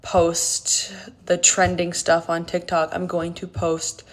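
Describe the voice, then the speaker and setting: A woman's voice: lowish voice, monotone, takes it slowly. A woman in her late twenties talking candidly to the camera about her life, with frequent fillers. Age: 20s. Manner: candid and emphatic, many "like" fillers. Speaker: fitness content creator. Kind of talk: casual talking-to-camera vlog.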